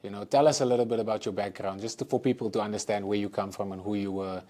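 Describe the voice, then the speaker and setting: A man hosting a podcast interview, speaking softly and casually, often saying "you know". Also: lowish voice, fairly even intonation, racing. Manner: soft-spoken, leans on "you know". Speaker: podcast host.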